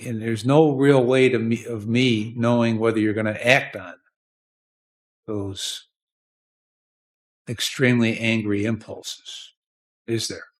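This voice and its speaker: A man's voice: medium pitch, fairly even intonation, slow, long pauses. An American man speaking as a judge in a courtroom, questioning and lecturing bluntly, repeating himself and often checking with "okay?".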